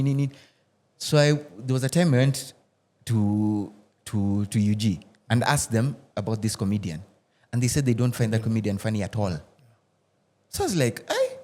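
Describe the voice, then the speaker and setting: A man, a podcast guest who tells animated stories in casual roundtable chat, often restarting and laughing. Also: mid-range voice. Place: podcast studio.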